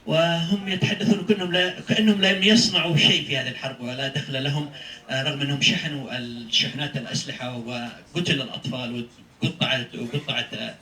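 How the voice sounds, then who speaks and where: Fast-talking man, echoey room. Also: medium pitch, steady tone, pushed and bright. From Yemen. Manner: halting, with frequent hesitations. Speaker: human rights lawyer speaking at press conference. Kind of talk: public statement. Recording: echoey room, distant mic, faint noise.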